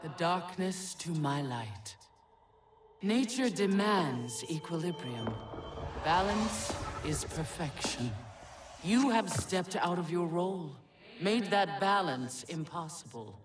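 An actor playing a solemn, imperious dark god in a video game, speaking formally and pompously. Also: male voice, fairly high pitch, lively intonation, slow pace, recorded from a distance, faint music behind. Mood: cold, imperious. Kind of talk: dramatic video game cutscene acting.

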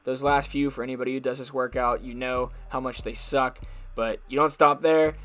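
A man's voice: mid-range voice, steady tone, normal speed. A man in his twenties, a fitness vlogger giving a scripted voice-over about a workout. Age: 20s. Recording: loud music behind the voice. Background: music.

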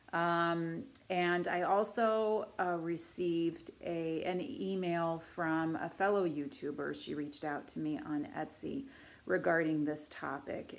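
A woman in her forties with a cold, talking to camera from her living room couch in an earnest, measured way with frequent filler pauses. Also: sits low. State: deeper and huskier from a cold. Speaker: artist and channel host. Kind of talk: casual to-camera vlog.

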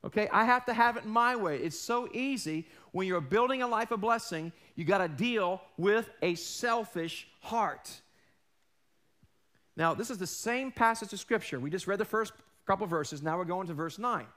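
A man in his forties preaching a sermon on a large church stage, losing his voice, animated and emphatic, swinging between loud and hushed and calling out questions to the congregation. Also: high voice, normal speed. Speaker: pastor.

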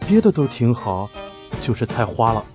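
Man acting a teasing young manager, lively intonation. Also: medium pitch, moderate pace, music in the background, close to an everyday voice. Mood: sly, mock-serious. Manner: teasing, smooth-talking. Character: teasing, sly young male office manager. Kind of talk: audio drama dialogue.

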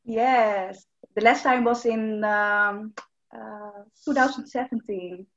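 A woman's voice: medium pitch, slow, a bit bright. Hesitant woman on a dull call. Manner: hesitant, with fillers and laughs. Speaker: conversation partner. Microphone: video call.

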